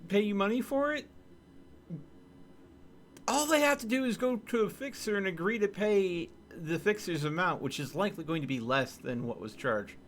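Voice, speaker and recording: A man streaming a game, chatting casually with viewers in an animated, joking way. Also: high-pitched, a little hiss or hum. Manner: animated, joking, talks to chat. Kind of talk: casual gameplay commentary.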